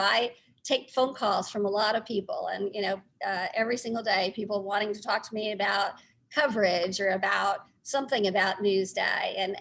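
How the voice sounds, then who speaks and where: A middle-aged woman with a Texas accent, speaking informally and haltingly as a guest recounting her career. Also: on the high side, fairly bright tone, husky, low-quality recording. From Texas, United States. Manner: halting, conversational. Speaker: newspaper publisher, guest speaker.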